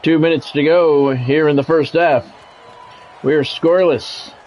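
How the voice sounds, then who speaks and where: A man's voice: fairly high pitch, fairly even intonation, measured pace, darkish tone, a little hiss or hum, low-quality recording. A man doing radio-style play-by-play of a football video game on a livestream, with swearing and greetings to viewers.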